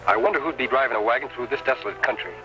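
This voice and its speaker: An actor playing a calm, upright masked western hero with measured, authoritative diction in an old radio drama. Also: male voice, mid-range voice, natural voice, low-quality recording. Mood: alert. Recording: vintage radio drama, faint music.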